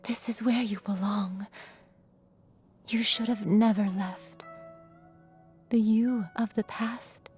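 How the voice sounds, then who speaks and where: A woman's voice: mid-range voice, fairly even intonation, slow, pauses often, natural voice. A woman voicing a mournful pleading woman. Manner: soft, hushed pleading. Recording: music underneath.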